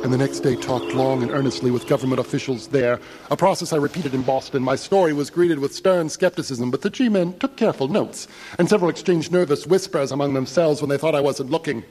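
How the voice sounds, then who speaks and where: A man's voice: medium pitch, fairly quick, hardly any pauses, darkish tone, close to an everyday voice. An actor playing a haunted traveler who recounts his escape in solemn, intense, literary horror narration for audio theatre. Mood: subdued, grave. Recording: clearly noisy, ambient sound behind voice.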